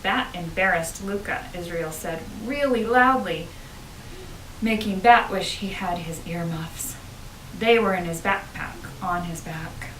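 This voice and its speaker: A woman reading a children's book aloud with expressive storytelling, as a school principal would to pupils. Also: on the low side, slow. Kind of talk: children's audiobook narration. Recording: roomy, distant, noisy home-style recording.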